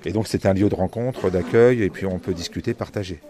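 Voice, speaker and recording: Fast-talking upbeat male radio reporter. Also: sits low, a crowd in the background. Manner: chatty, upbeat, quick questions. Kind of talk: on-location radio interview.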